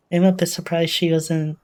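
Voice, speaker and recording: A woman giving casual, off-the-cuff reaction commentary, thinking aloud in a musing, tentative way. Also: on the low side. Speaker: reaction video host.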